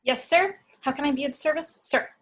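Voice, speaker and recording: High-voiced woman as a nerdy teenage boy. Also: a little fast, fairly bright tone. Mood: awed, eager. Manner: formal, fussy phrasing. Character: earnest, nerdy fourteen-year-old boy, devoted sci-fi fan. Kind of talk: script table read.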